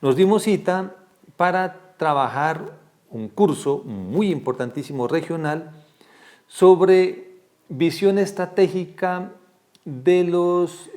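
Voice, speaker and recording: A man's voice: mid-range voice, darkish tone. Man hosting an interview program. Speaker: program host. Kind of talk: interview with long spoken introductions.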